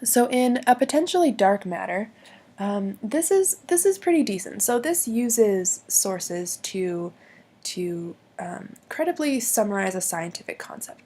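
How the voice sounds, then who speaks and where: A woman with a hoarse voice from bronchitis, explaining logical fallacies in a hesitant way with many pauses and restarts. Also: mid-range voice, darkish tone. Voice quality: husky, gravelly.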